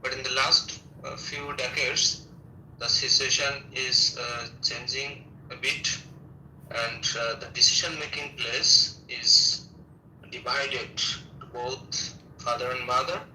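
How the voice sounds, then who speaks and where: Piercingly bright Bangladeshi man on echoey call. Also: medium pitch, steady tone, slow pace. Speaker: student practising for a speaking test. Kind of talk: English speaking practice, exam-style answers. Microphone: online call. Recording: echoey, distant online call, faint noise.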